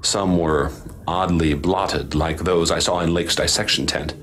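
A man narrating and acting as expedition leader in a radio drama, grave and measured. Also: rumbling low, fairly even intonation, background noise.

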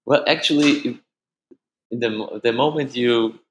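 A man from Austria whose first language is German, speaking English with an Austrian German accent as an interview guest, halting and repeating words, often laughing. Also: mid-range voice, poor audio. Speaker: musician guest. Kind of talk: casual interview answers.

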